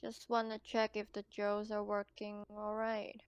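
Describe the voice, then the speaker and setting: A woman playing an easygoing crew member in a sci-fi roleplaying game, speaking softly and without fuss. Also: medium pitch, monotone, a little slow, clean tone, no room sound, dull audio, close to an everyday voice. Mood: calm, casual. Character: female crew member driving a tractor, easygoing.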